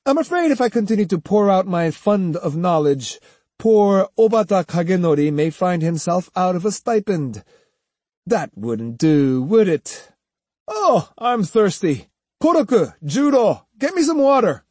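A man's voice: sits high, sing-song, a little slow, a little mellow, no room sound, voice pushed up, exaggerated voice. A man voicing an arrogant, sneering master swordsman who lectures haughtily with rhetorical questions in an audiobook story. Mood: smug, mocking.

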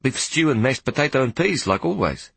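Man as a flustered train attendant, apologetic, husky, dry sound. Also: mid-range voice, sing-song, fairly bright tone, dry recording, voice pushed up, exaggerated voice. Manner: apologetic, hurried explaining.

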